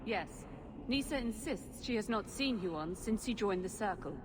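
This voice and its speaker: An actress playing an emotionless, efficient mage assistant in a video game, formal and precise. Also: female voice, medium pitch, unhurried, natural voice. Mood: detached, precise. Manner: flat affect, formal, precise. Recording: long hall reverb, clear background noise.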